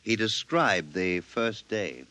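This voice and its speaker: An authoritative psychiatrist in a 1950s radio drama. His low voice is bright and firm, with lively inflection as he dictates and probes in a measured, clinical way. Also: full character voice. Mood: clinical, formal. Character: authoritative male psychiatrist dictating a case report, clinical and probing.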